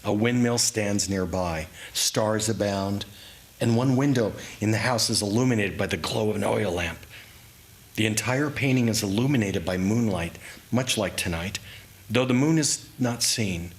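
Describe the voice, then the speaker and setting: A man giving a dramatic, reflective reading of his own memoir story, with deliberate pauses. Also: on the low side. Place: indoors in a historic house, live reading. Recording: clearly noisy live room recording.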